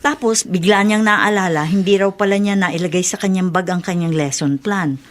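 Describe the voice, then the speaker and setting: A woman's voice: on the low side, moderate pace, close to an everyday voice. A Filipino woman playing a chatty, respectful teacher in a radio drama, telling things in a conversational, deferential way. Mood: confiding.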